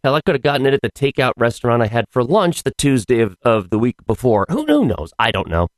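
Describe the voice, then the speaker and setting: Hoarse guy doing his podcast solo, rambly and wry, voice jumps all over, dry close sound. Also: mid-range voice, big pitch swings, quick pace, dry recording. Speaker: podcast host. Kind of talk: casual solo podcast chat.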